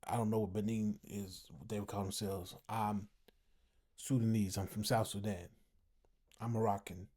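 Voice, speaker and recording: A man's voice: fairly low pitch, steady tone, normal speed, dark tone, breathy edge. A tired American man giving casual, opinionated commentary as a host, emphatic and repeating phrases for emphasis. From United States, family from Texas. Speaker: host commenting on an online discussion.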